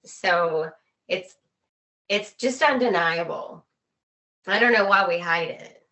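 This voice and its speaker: Bright, forceful low-pitched woman, slow-paced. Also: a little hiss or hum, rough recording. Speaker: interview guest. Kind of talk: casual personal storytelling.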